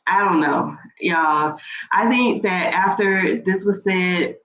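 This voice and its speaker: Woman, stuffy nose, rambling fillers, slightly low voice, roomy echo, dull sound, faint hiss. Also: slow pace, a bit bright. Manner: chatty, rambling with fillers and restarts. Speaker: YouTube reaction host. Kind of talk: casual reality TV recap. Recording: echoey room, dull lo-fi sound, faint noise.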